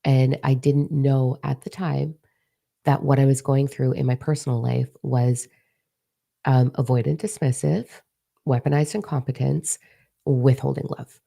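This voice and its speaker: A young woman with a hoarse, partly lost voice, giving a casual spiritual talk and confiding freely with plenty of swearing. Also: extremely low pitch, fairly even intonation, unhurried, warm tone. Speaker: spiritual teacher.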